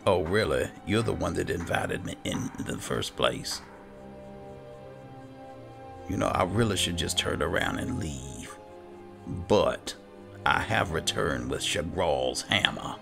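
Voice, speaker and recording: A man giving dry, unhurried roleplay commentary over a video game, as if talking to himself. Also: sits low, expressive, creaky. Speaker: gaming channel host. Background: game music.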